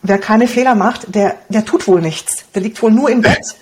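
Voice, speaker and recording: A woman in her forties from Romania whose first language is Hungarian, a guest blogger and copywriter talking casually in an interview in a lively, cheeky way. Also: mid-range voice, normal speed, slight background noise. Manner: lively, cheeky, laughs often.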